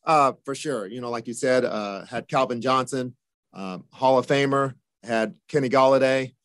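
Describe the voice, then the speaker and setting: A man in his fifties, a football receivers coach, answering interview questions in a measured way, with many "you know" and "uh" fillers. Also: mid-range voice, fairly bright tone, dry recording, clean studio sound. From Japan, raised in the United States from age seven.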